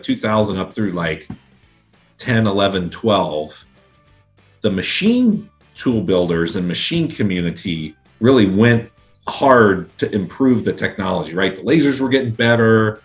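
A man from Central Ohio, a guest in an interview, talking casually with many fillers and restarts. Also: on the low side. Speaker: guest, engineering director.

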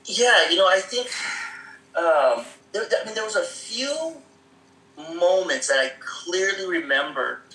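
A man with a fairly high, very bright voice tells stories in a loose, rambling way, full of fillers and quick laughs. He sounds like he is in an echoey room. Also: animated. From Seattle area. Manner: rambling, many fillers, laughs often, acts out quoted voices. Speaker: guest. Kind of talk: casual conversational storytelling.

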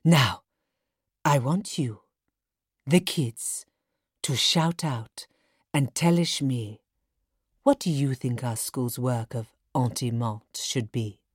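A pompous, slow, low-voiced art teacher. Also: female voice, slightly rough, no room sound, studio quality, full character voice. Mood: grandly commanding. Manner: self-important, theatrical, mangling words with grand made-up endings. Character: pompous, vain woman art teacher in a children's story. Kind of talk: children's audiobook character acting.

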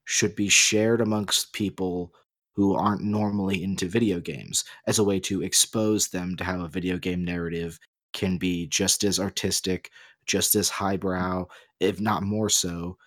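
A man with a fairly deep voice co-hosts a podcast discussion. He sets out his opinions in an articulate, measured way, stressing key words. The sound is very dry, with no room on it. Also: no echo. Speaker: podcast co-host.

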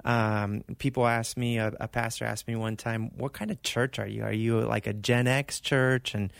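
A man raised in Argentina, a pastor, talking casually as a radio interview guest in short phrases with pauses between them. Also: medium pitch, flat delivery, gravelly, no room sound, studio quality. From born in California, raised in Argentina. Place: radio studio. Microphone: close studio microphone.

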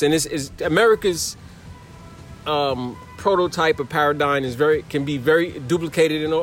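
Earnest man thinking aloud. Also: fairly high pitch. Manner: earnest, searching for words. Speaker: traveler in conversation. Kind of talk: casual reflective conversation.

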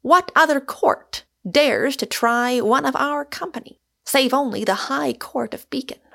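Whimsical gentleman, done by a woman, raised pitch, mock-heroic, haughty, theatrical, audiobook character. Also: animated, a little slow, gravelly, heavily acted.

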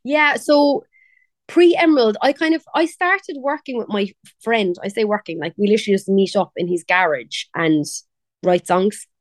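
A woman's voice: mid-range voice, moderate pace, no echo. A young woman from Cork with a Cork Irish accent, chatting casually as an interview guest, laughing and quoting others. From Ireland. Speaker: pop singer, guest.

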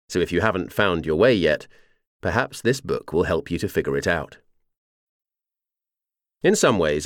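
A man reads a first-person memoir as a scripted audiobook narration, in a measured, clearly articulated way. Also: deep voice, no echo, studio quality.

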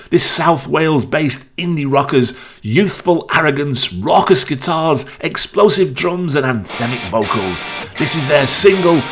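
A man presenting a music show on radio, introducing records in an upbeat broadcast style. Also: a little high.

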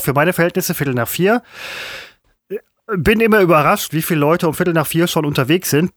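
A man's voice: fairly high pitch, a bit bright, no room sound, studio quality. A man co-hosting a podcast, teasing and placating his partner while talking over him. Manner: teasing and placating, talks over the other host. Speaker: podcast co-host. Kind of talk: casual podcast banter.

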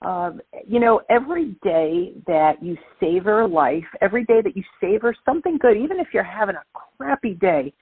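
A middle-aged woman with a Brooklyn accent speaks over a tinny phone line. Her voice is husky. She talks with energy and stacks up emphatic repetitions. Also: medium pitch, expressive, normal speed, hardly any pauses, no room sound. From Brooklyn, New York. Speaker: guest speaker. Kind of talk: phone-in interview, casual.

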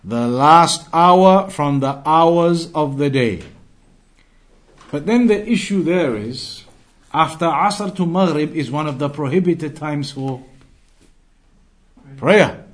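A man's voice: a little high, lively intonation, slow, pauses often. A man giving an explanatory religious lesson as a teacher, didactic, restating key phrases for emphasis and moving between quotations and explanation. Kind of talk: explanatory Islamic lesson, translating quoted Arabic into English.

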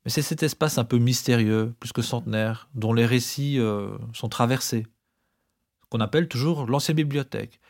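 A man's voice: mid-range voice, moderate pace. A man co-hosting a podcast with scripted, explanatory narration.

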